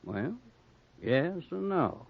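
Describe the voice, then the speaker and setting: Curt, sarcastic police inspector. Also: male voice, on the high side, sing-song, very slow, gravelly, no echo, exaggerated voice. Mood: cagey. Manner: clipped, dismissive. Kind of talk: 1940s American radio noir acting. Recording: dull, dry 1940s radio recording.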